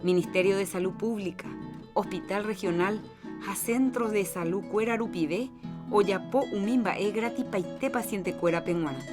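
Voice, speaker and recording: A Paraguayan woman presents a radio health programme in a medium-pitched voice. She reads from a script, with guitar music clearly playing behind her. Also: measured pace. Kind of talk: scripted health announcement in Jopara.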